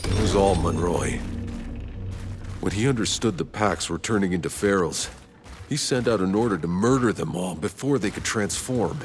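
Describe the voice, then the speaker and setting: A man's voice: deep voice, moderate pace, background noise, close to an everyday voice. An actor playing a weary male veteran survivor in a video game, with a grim, heavy way of telling things. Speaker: game character. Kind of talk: video game cutscene acting. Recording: game mix with loud ambience.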